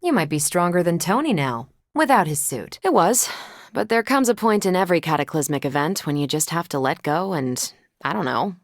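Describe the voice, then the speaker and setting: An American actress playing a confident, wry superhero and former military pilot in a video game, with a casual, teasing way of talking. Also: female voice, lowish voice, animated, fairly quick, dry recording, studio quality. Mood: dry, conversational. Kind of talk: video game character dialogue.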